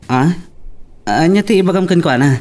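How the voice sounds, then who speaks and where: A man's voice: a little high, lively intonation, moderate pace, a little mellow, close to an everyday voice. A man playing an easygoing young boyfriend in an audio drama, with an Ilocano accent. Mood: casual, waiting. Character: easygoing young boyfriend waiting for his girl.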